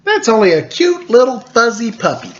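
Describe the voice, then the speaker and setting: A man voicing a sneaky, silly burglar from a children's storybook in a hushed, exaggerated way. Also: very high voice, wildly swooping pitch, normal speed, muffled recording, voice pushed up, heavily acted. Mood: amused, coaxing. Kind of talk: children's storybook character reading.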